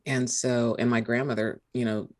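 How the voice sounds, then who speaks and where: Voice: medium pitch, level tone, normal speed. A speaker from California who trained in law, talking in a reflective, storytelling way. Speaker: lawyer, podcast guest. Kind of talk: casual conversation.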